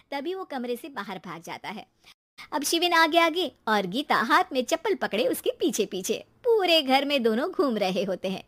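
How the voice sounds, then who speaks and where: A woman narrating a scripted story as its storyteller. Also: high-pitched.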